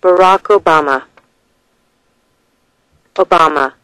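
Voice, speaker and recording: A narrator reading scripted test questions and short answers in a measured, deliberate way. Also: mid-range voice, fairly bright tone, clean tone, no room sound, rough recording.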